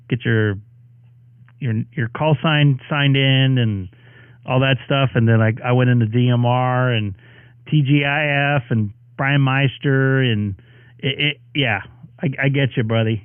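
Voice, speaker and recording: A man's voice: medium pitch, clear, resonant tone, dry recording. Ham radio host guy, voice getting worn, tinny radio sound, rambly with lots of uhs. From Southern California, USA. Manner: rambling, filler-heavy, friendly sign-off talk. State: voice starting to go. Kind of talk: casual radio chat. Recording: tinny radio audio, dry.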